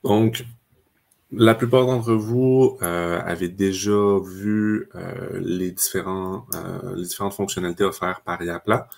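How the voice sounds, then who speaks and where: A man, presenting software in a webinar and explaining it step by step with frequent fillers. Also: low voice, fairly even intonation, slow pace, gravelly. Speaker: customer success manager.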